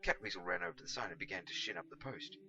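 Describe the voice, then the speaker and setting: A man reading a storybook aloud as scripted narration, in an expressive, dramatic manner. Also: a little high, very fast, creaky, highs cut off. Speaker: amateur audiobook reader.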